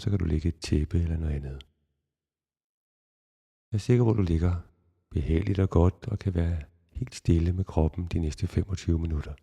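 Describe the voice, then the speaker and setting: A man guiding a relaxation meditation in a calm, measured, instructional way with long pauses. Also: sits low, vocal fry, slightly rough. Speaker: yoga nidra guide.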